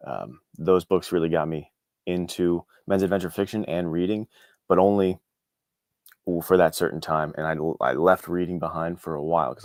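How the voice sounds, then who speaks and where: A man's voice: very deep voice, vocal fry, no room sound, studio quality. A man talking casually as an interview guest, easygoing and a little self-deprecating. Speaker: guest, indie author and podcast co-host. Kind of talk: casual chat.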